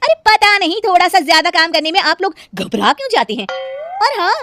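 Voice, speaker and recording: A woman voicing a lazy, defiant young daughter-in-law in an animated story, talking back dismissively. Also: very high voice, fairly quick, dry recording, clean studio sound, full character voice.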